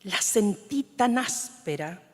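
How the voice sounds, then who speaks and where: A woman poet from Chile whose mother tongue is Mapudungun, reading her poems in a measured, solemn way, often letting lines fade into a whisper. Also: medium pitch, lively intonation. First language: Mapudungun (Huilliche). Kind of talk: slow, solemn poetry reading. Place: large conference hall. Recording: long reverb of a large hall.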